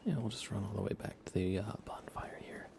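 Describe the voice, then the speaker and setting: A man doing soft-spoken ASMR livestream commentary on a game, low-key and murmuring, often dropping into a whisper. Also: animated, brisk, creaky. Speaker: livestream host.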